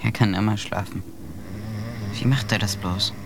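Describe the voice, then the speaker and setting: A man's voice: lowish voice, fairly even intonation, normal speed, noisy background. A man playing a plain, kind-hearted helper on a polar expedition in a radio drama. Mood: subdued, kind. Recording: clear background ambience.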